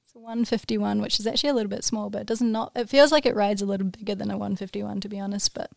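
A young woman from New Zealand with a New Zealand accent, chatting casually as a podcast guest in a laid-back way, full of "like" and quick laughs. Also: mid-range voice, fairly even intonation, vocal fry, no room sound, studio quality. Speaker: podcast guest, pro snowboarder. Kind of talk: casual chat.

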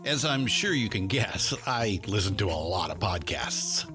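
A man's voice: fairly low pitch, expressive, a little slow. A man reading a podcast ad in a chatty, conversational way with informal asides. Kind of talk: conversational ad read. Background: music.

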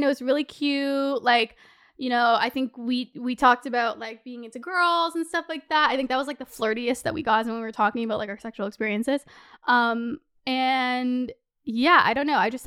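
A woman, telling a candid personal story in a chatty, animated, sweary way full of "like". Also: fairly high pitch, clear, resonant tone, dry recording, clean studio sound. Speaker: podcast host.

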